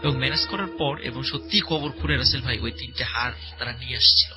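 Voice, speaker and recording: A man telling a horror story conversationally as a guest, in a halting, repetitive, suspenseful way. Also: medium pitch. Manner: halting, repetitive, suspenseful retelling with filler words. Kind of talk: spoken horror story told conversationally to a host. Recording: voice over prominent background music. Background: music.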